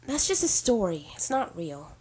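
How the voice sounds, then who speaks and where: A woman acting as a strong-willed heroine in an audio drama, with a husky, mid-pitched voice. She comes across as stressed and skeptical, with a sarcastic, defiant streak. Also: big pitch swings, normal speed, darkish tone, rough recording. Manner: incredulous, combative, sarcastic.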